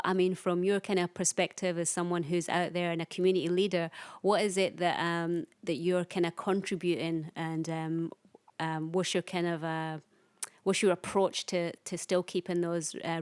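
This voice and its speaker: A woman hosting a podcast interview, asking questions in a relaxed, chatty way with plenty of fillers. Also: on the low side, smooth, no echo.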